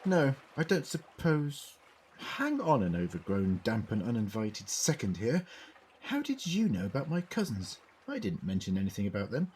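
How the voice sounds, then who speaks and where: A man's voice: medium pitch, huge pitch swings, moderate pace, a little mellow, a little hiss or hum. A man acting in an audio drama as an eccentric, inquisitive Doctor who muses precisely as he investigates. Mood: inquisitive, musing. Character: male time-travelling Doctor, curious, eccentric, quick-thinking investigator.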